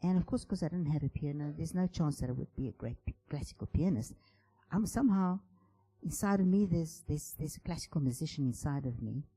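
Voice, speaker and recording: Soft-spoken man, dark husky voice. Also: a little high, animated, brisk, low-quality recording. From South Africa. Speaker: composer and bass player speaking at a conference. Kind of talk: personal story told in a conference talk.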